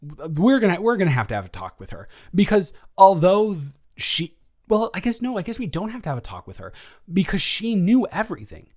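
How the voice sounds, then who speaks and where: A man's voice: high voice, huge pitch swings, exaggerated voice. Man acting an awkward, rambling young boyfriend. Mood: impressed, musing. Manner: halting, stammering, rambling. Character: awkward, eager, rambling ex-boyfriend hoping to reunite. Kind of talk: improvised romantic roleplay audio.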